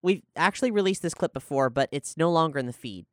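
A woman's voice: sits low, animated, fast talker, no echo, studio quality. A woman giving announcements as a podcast host.

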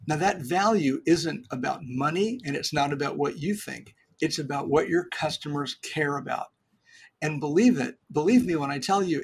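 A middle-aged man, a podcast guest, giving business advice in a lively, joking, explanatory way. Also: a little high, slightly rough. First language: English. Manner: animated, jokey explainer.

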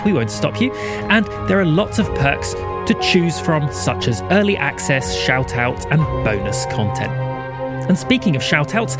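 A man announcing a scripted podcast patron appeal in a playful, clearly spoken way, with music behind him. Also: a little high.

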